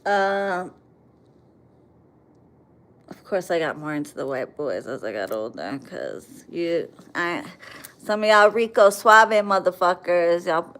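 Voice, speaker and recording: American woman, livestream host, blunt and profane, lowish voice, unhurried. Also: fairly bright tone. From United States. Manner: blunt and profane, answers comments directly. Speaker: livestream host reading viewer comments. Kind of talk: casual livestream chat.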